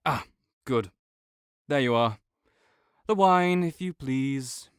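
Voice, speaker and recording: A man's voice: mid-range voice, animated, takes it slowly. A man playing a proud, sardonic invalid nobleman in an audio roleplay, speaking with disdainful, formal, old-fashioned diction. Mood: curt, suspicious. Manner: haughty, archaic formal diction, disdainful drawl. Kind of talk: scripted audio roleplay monologue.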